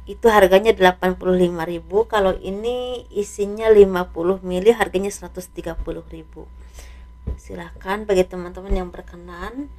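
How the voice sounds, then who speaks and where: A slightly hoarse woman doing a casual tarot reading, speaking softly and letting her phrases trail off. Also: lowish voice, fairly even intonation, normal speed. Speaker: tarot reader.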